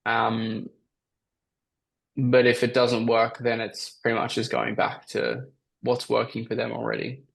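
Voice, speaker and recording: A man with a slightly raspy voice, coaching on a call and giving casual, emphatic advice as a sales coach. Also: medium pitch, completely flat delivery, a little slow. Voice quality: raspy from talking all day. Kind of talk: coaching call, answering questions.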